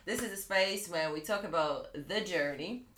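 A woman's voice: fairly low pitch, normal speed, fairly bright tone, slight background noise. A woman hosting a casual, chatty conversational interview in an informal way. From outside the UK. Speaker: podcast host. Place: living room. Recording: echoey room.

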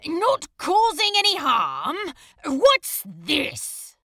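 An exasperated demon man acted by a woman in an audio drama, pitched high with a sharp, forceful edge. He is volatile and dramatic, swinging wildly through indignant, spluttering outbursts. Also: huge pitch swings, very slow, full character voice. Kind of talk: fan audio drama acting.

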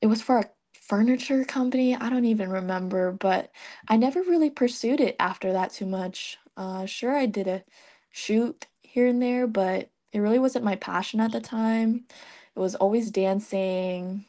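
A young woman from Vietnam, a model and podcast guest, telling her story in a relaxed way with many ums. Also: mid-range voice, normal speed. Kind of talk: casual interview chat.

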